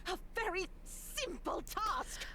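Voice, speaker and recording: An actress playing a stern, sanctimonious nun in a visual novel, haughty, pious and reproachful. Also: female voice, very high voice, big pitch swings, slow, pushed and bright, slight background noise, full character voice. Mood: outraged. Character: stern, sanctimonious nun, scolding her young charges. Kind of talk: dramatic visual novel voice acting.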